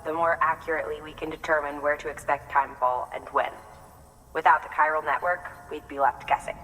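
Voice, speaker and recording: A composed support officer in a video game, measured and explanatory. Her voice is low for a woman and carries a long reverb. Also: fairly bright tone. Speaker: mission support contact. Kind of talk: video game cutscene dialogue.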